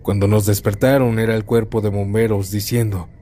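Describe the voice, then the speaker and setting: Low, mellow-voiced male horror narrator. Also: flat delivery, fairly quick, a little hiss or hum. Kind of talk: scripted first-person narration of horror stories.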